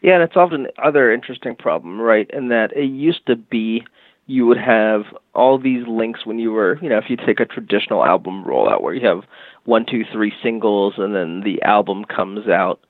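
A man with a low, gravelly voice explains his work as a podcast guest, steady and full of fillers. The sound is narrow and phone-like, and the space around it is dry and close. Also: dry recording. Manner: measured, explanatory, with frequent fillers. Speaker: agency head, podcast guest. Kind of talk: casual interview talk. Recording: tinny phone-like line.

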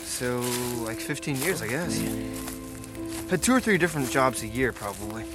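A man playing a guarded, low-key drifter and hunter in an audio drama, speaking in terse, hesitant lines. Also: lively intonation, moderate pace, loud music behind the voice, natural voice. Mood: reserved, reflective. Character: guarded, drifting outdoorsman from Maine who grew up hunting. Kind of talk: naturalistic audio drama dialogue.

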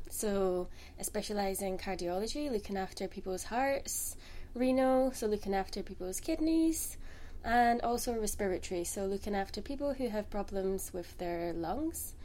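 A woman, a dietitian calmly explaining terms as a podcast guest. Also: medium pitch, slight background noise. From Scotland.

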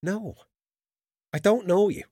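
A man's voice: high voice, slow, warm tone, no room sound, clean studio sound, full character voice. A man playing a frightened captive in a radio drama, with an agitated, defensive, pleading delivery. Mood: alarmed, denying.

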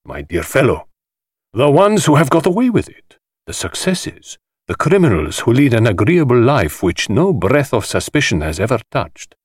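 A man's voice: mid-range voice, very animated, moderate pace, husky, no room sound, studio quality, pitched above the performer's own voice. A man voicing a flamboyant, mocking, sinister middle-aged host in an audiobook, with a drawling, hissing, theatrical manner. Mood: grandly boastful. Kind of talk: dramatised audiobook dialogue.

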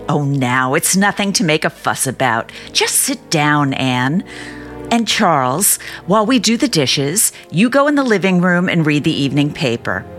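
A woman's voice: deep voice, very animated, normal speed, fairly bright tone, faint music behind. A woman playing a doting, soothing middle-aged mother in a radio drama, with an affectionate, fussing way of talking. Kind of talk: mid-century American radio drama acting.

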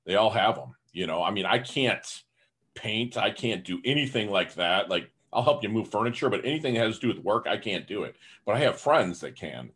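A man talking as a podcast guest in a casual interview, upbeat and energetic, peppering his talk with "you know". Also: lowish voice, brisk, slightly rough.

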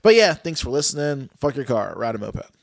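A man's voice: medium pitch, very animated, a little fast, creaky, no room sound, studio quality. Stuffy-nosed laid-back man hosting. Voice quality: stuffy, nasal. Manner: laid-back, joking, swears casually. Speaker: podcast host. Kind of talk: casual chat.

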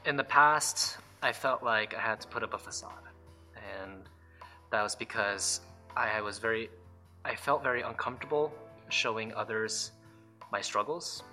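A man's voice: on the low side, normal speed, bright, forceful tone, soft background music. A young adult man from Nevada, born in South Korea, sincerely sharing his testimony in an interview, with fillers and small restarts. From Reno, Nevada, born in South Korea. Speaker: church member giving testimony. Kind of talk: interview testimony for a church video.

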